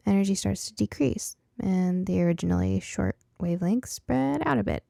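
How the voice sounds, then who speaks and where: A woman voicing a curious, science-minded girl who narrates her own story in a conversational, expressive storybook style. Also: medium pitch, vocal fry, dry recording, clean studio sound. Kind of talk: first-person audiobook narration.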